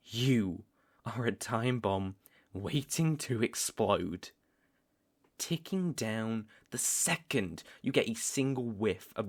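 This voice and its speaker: A young British man playing a stern, taunting vampire hunter in a roleplay audio drama, speaking deliberately and with cool menace. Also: lowish voice. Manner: slow, deliberate, threatening.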